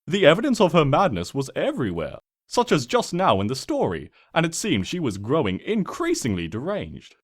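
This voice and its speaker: A man's voice: a little high, wildly swooping pitch, moderate pace. A man voicing a smug, mischievous trickster spirit who narrates a comic storybook in a pompous, sardonic, theatrical way. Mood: mock wounded.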